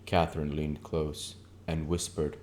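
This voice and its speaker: A man reading literary narration for an audiobook, with measured, deliberate phrasing. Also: extremely low pitch, flat delivery, darkish tone, slight background noise. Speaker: audiobook reader.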